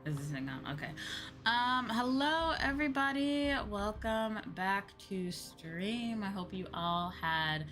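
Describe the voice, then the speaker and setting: A woman's voice: mid-range voice, lively intonation, takes it slowly, a bit bright. A woman livestreamer chatting casually to her viewers in a rambling, repetitive way. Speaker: VTuber streamer. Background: background music.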